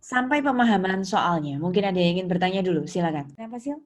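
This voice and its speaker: A woman's voice: fairly low pitch, lively intonation, fast talker. A woman with an Indonesian accent giving an interactive lecture as a teacher, explaining points didactically and putting questions to students. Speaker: accounting lecturer. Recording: noisy, with keyboard clicks and typing.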